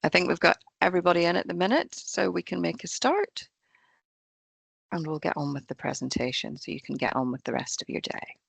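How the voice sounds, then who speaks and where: A woman talks through pension rules in a webinar, her hoarse voice deeply gravelly and full of fry. She keeps an even, explanatory pace over a video call. Her voice is dry and close, with no room sound at all. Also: brisk, dry recording. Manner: steady, explanatory, reads through rules point by point. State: croaky, hoarse voice. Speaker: pension fund trainer. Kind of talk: presenting an online employer webinar.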